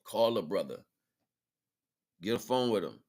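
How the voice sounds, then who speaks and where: Deep-voiced man chatting casually on livestream. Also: animated, a little slow, a little mellow. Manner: informal slangy speech with frequent tag questions. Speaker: livestream host.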